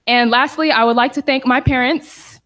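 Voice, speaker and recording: A woman's voice: sits high, steady tone, moderate pace, a bit bright. A young woman giving a casual, grateful graduation thank-you speech in a church hall. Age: young adult. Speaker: graduate speaker.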